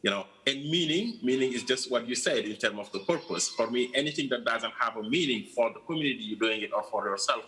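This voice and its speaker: A man's voice: medium pitch, expressive, fast talker, hardly any pauses, pushed and bright, low-quality recording. A man answering interview questions in an earnest, reflective way, often saying "you know.". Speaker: guest from a changemaker organisation.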